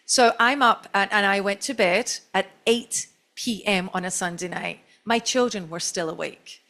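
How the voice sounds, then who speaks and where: A woman's voice: medium pitch, unhurried, a bit bright. A middle-aged Scottish woman, a marketing chief, telling a long, chatty, self-deprecating story on a stage panel. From Scotland.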